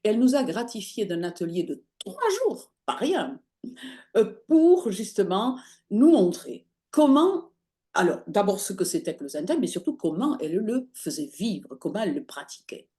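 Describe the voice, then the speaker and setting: A woman as an interview guest, telling her story conversationally, lively and often pausing with fillers as she searches for words. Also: mid-range voice. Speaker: interview guest, holistic practitioner.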